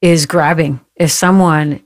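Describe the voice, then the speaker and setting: A host with a mid-pitched voice, earnest and encouraging, who asks questions and muses on the guest's answers. The sound is dry and close. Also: fairly even intonation, slow pace, dry recording. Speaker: podcast host. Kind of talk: podcast interview.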